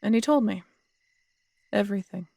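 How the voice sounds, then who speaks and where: A woman playing a tough, strong-headed city detective in an intimate audio roleplay, husky and gravelly, telling things in a wry, unhurried, conversational way. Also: medium pitch, warm tone, no echo, natural voice. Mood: reflective. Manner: wry, unhurried storytelling with casual swearing.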